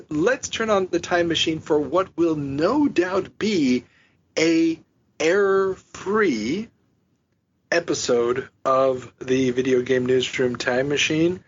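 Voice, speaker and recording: A man hosting a podcast in casual chat, joking about himself with quick asides. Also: fairly high pitch, slight background noise. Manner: chatty, self-mocking, quick asides. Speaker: podcast host. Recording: slightly dull lo-fi podcast audio.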